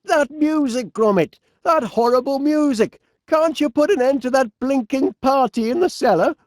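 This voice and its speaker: An actor playing a fussy, absent-minded middle-aged inventor with a Northern English accent, politely musing aloud in an animated comedy game. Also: male voice, extremely high pitch, moderate pace, dry recording, clean studio sound. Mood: exasperated.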